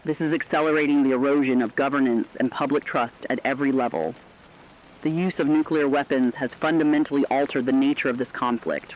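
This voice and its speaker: A man playing a serious radio crisis reporter, reading scripted emergency news in a formal, measured manner. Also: medium pitch, close to an everyday voice. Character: sober radio crisis reporter in fictional war broadcast. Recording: dull radio sound with rain noise.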